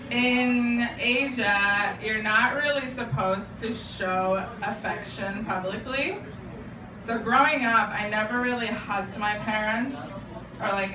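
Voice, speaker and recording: A woman's voice: mid-range voice, noisy background. A young woman from Japan, Japanese her first language, doing a chatty, self-deprecating stand-up set and bantering with a live club audience. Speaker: stand-up comedian. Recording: roomy, distant live club recording.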